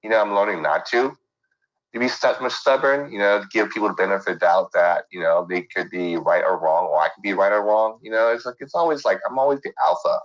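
Low-voiced middle-aged man, rambling guest. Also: a little fast, muffled recording. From San Francisco, United States. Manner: rambling, with many fillers and restarts. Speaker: interview guest. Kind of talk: casual interview talk.

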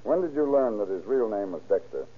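An actor playing a brisk, formal law officer questioning a witness in an old radio crime drama. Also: male voice, lowish voice, lively intonation. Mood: interrogating. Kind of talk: 1930s-40s radio crime drama acting. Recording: muffled, scratchy old-time radio recording.

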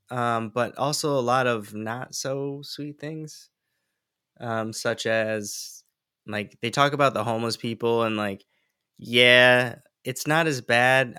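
A young man hosting his own podcast, talking alone in a relaxed, rambling way with lots of ums and likes. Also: mid-range voice, clean tone, dry recording, studio quality. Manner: relaxed, rambling, many fillers. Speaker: podcast host. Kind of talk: casual solo podcast monologue.

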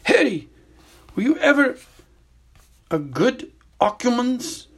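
Man putting on Dumbledore, a high gentle old wizard. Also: sing-song, slight background noise, full character voice. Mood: concerned. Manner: gentle, measured and grave. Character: old wise male wizard headmaster, calm and grave.

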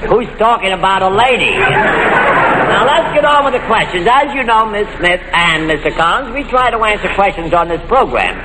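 A man's voice: high-pitched, animated, moderate pace, a crowd in the background. A man hosting a 1940s radio comedy quiz, with showy introductions and dry wisecracking asides. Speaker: comic quiz show host. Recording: noisy old radio recording, echoey hall with audience.

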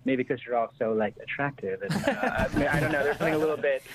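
A man speaking as a guest writer in a casual discussion, halting and questioning himself as he goes. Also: fairly high pitch, lively intonation, a loud crowd. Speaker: comedy scriptwriter, guest. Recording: slightly dull, muffled sound.

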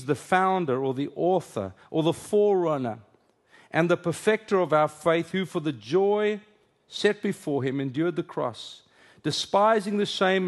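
A middle-aged man with an Afrikaans accent, whose first language is Afrikaans, gives a conference sermon and leads a prayer, joking and then earnest. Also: a little high. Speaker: preacher.

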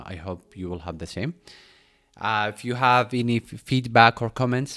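A man hosting a podcast interview, talking in a relaxed way with some stumbles and "like" fillers. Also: on the low side. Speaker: podcast host. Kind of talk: casual interview chat.

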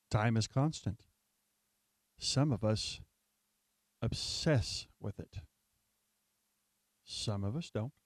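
Slow, husky, mellow male radio narrator. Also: mid-range voice, dry recording. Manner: measured, theatrical. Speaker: narrator and host. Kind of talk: old-time radio scripted narration.

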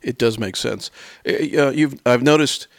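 A man's voice: mid-range voice, measured pace, vocal fry, dry recording. An American man hosting a casual interview, somewhat hesitant, often repeating and rephrasing himself as he asks questions. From United States. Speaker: interviewer, host.

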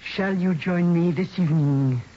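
An actress in an old radio drama playing an enigmatic spirit medium who speaks formally and evasively, sure of herself. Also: female voice, on the low side. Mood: serene, mysterious. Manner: formal, measured, mysterious, evasive. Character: enigmatic, self-assured female spirit medium. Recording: dull, lo-fi vintage radio recording.

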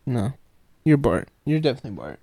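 A man's voice: medium pitch, very animated, fairly quick, warm tone, no echo. A young man co-hosting a podcast, laid-back and teasing in loose slangy chatter. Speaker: podcast co-host. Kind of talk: casual podcast banter.

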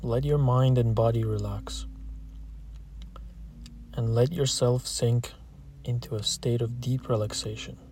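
A man narrating a scripted guided meditation, calm and gentle, leaving long pauses between short phrases. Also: mid-range voice, a little hiss or hum.

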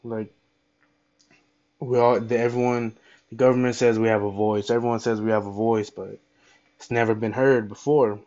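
A man hosting a solo podcast, speaking off the cuff in an impassioned, halting way and repeating his phrases. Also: medium pitch, fairly even intonation, a little mellow, highs cut off. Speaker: podcast host. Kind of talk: unscripted solo podcast monologue.

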